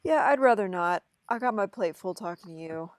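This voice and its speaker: A woman's voice: medium pitch, lively intonation, normal speed, slight background noise, lightly acted. A woman playing an awkward, reluctant, exasperated young man in an amateur script reading, flustered and protesting.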